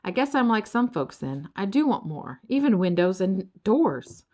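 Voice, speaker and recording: A woman's voice: mid-range voice, very animated, normal speed, a little mellow, natural voice. A woman voicing an earnest, hopeful young creature, half fish and half bird, in a children's storybook. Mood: wistful. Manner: eager, earnest.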